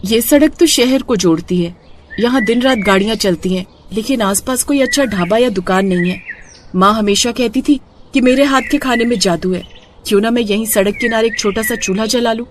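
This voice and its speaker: A woman's voice: a little high, fairly quick, a little hiss or hum, natural voice. Woman acting a humble, earnest young village woman. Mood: determined. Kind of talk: Hindi cartoon moral story acting.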